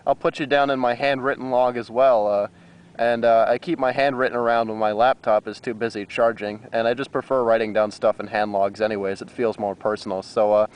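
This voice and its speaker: A man's voice: mid-range voice, fairly even intonation, brisk, smooth. An American man chatting casually as an amateur radio operator, with a hesitant, rambling manner. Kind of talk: casual radio contact chat.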